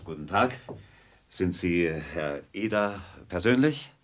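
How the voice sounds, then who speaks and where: An actor playing a polite, eager company representative in a radio play, speaking in a formal, salesmanlike manner. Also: male voice, sits low, animated, slow, background noise. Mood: courteous, eager.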